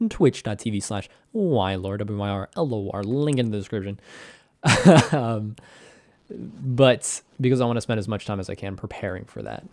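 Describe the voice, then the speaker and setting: A young man, slightly hoarse, rambling through casual game commentary with self-deprecating asides and frequent laughs. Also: lowish voice, a little mellow, dry recording, clean studio sound. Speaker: gaming commentator.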